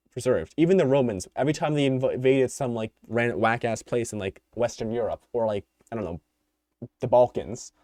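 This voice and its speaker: Young male podcaster, mid-pitch, rambling and opinionated, slightly worn voice, dead-dry recording. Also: warm tone, no echo. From United States. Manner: rambling, opinionated, many fillers and restarts. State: voice tiring. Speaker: podcast host. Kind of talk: unscripted solo commentary.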